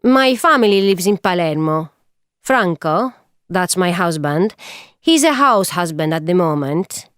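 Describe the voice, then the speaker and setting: Woman, smooth lowish voice. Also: lively intonation, measured pace, dry recording, studio quality. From Sicily, Italy. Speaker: architect introducing herself. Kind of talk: scripted self-introduction.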